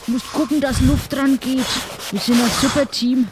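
A woman's voice: sits high, steady tone, normal speed, noisy background, natural voice. A woman with a southern German accent playing a chatty, good-natured shipwrecked pirate in an improvised audio adventure. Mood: easygoing, chatty. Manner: chatty, colloquial.

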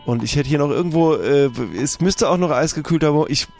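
Guy doing a stressed out tavern seller, stammery and complaining, slightly high voice, improv audio play. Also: a little fast, a little mellow, soft background music, natural voice. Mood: flustered, harried. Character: harried, grumbling tavern food seller, flustered and out of breath.

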